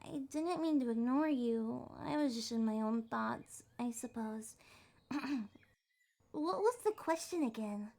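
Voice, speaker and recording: A woman plays an adorkable young fox girl in an ASMR roleplay. Her voice is high, and she talks in prim, wordy phrases with flustered hesitations, trying hard to sound mature. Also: expressive, a little slow, gravelly, dark tone. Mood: embarrassed. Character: adorkable young adult female kitsune fox, flustered, primly formal. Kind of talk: ASMR roleplay, acted one-sided date conversation.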